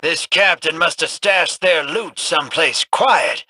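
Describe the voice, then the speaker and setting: A man's voice: on the high side, measured pace, background noise, natural voice. An actor playing a cocky, wry male ally in a video game, teasing and offhand.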